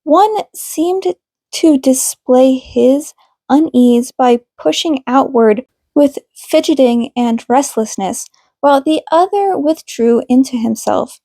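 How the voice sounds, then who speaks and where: A woman's voice: high-pitched, slow, mellow, dry recording. A woman reading scripted fiction aloud as the narrator of an amateur audiobook. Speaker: podfic reader.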